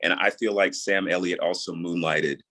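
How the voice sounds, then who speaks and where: A man from New York talks in a low, deep voice with a soothing tone. He is an interview guest, explaining his craft casually and in an easygoing manner. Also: fairly even intonation, moderate pace, bright, forceful tone. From New York, USA. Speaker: guest, audio drama creator and voice actor.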